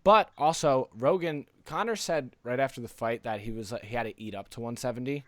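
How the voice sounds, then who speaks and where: A man co-hosting a podcast, chatting informally and playfully in an animated way. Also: mid-range voice, a little fast, no echo, clean studio sound. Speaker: podcast co-host. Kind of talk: casual chat.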